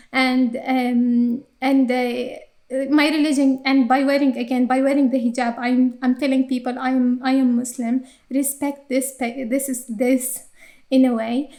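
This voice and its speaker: A woman's voice: high-pitched, darkish tone. A woman from Jordan with an Arabic accent, answering interview questions earnestly, with frequent hesitations and restarts. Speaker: guest, employee resource group co-chair. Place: Dublin.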